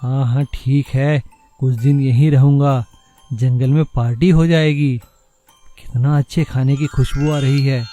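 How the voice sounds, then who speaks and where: A man voicing a lazy, carefree young village son in an animated moral story. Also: medium pitch, a little slow, dry recording, soft background music, voice pushed down, close to an everyday voice. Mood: pleased.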